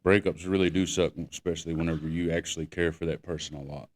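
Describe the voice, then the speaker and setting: Guy w/ a deep voice, slangy laid back podcast chat. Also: fairly even intonation, fast talker, darkish tone. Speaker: podcast co-host. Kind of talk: casual chat.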